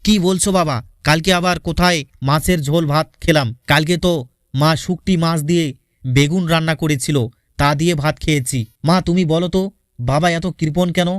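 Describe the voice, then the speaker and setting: A man's voice: a little high, steady tone, a little fast, smooth, no room sound, clean studio sound, lightly acted. A man voicing a demanding, complaining young son in a cartoon, insistent in manner.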